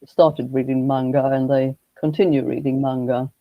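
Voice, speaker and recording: A man, a guest speaking in a halting, measured way with frequent restarts. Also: medium pitch, level tone, no echo, muffled recording. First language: Swedish. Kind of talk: casual conversation.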